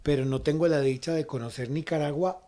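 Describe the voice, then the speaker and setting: A man with a Costa Rican accent hosts a conversational interview show. His voice sits a little high for a man, with a very mellow, dark-edged tone. He often rounds off phrases with a short checking question. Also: steady tone, brisk. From Costa Rica. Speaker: talk show host.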